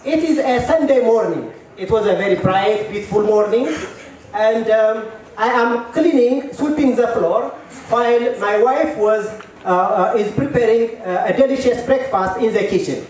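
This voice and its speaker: A middle-aged Ethiopian man telling a personal story to an audience on a handheld mic, halting, with many fillers and repeated words. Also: high-pitched, a little mellow, a little hiss or hum. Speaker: storyteller at a work meeting. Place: large tent at an event. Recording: echoey tent, mic at a distance.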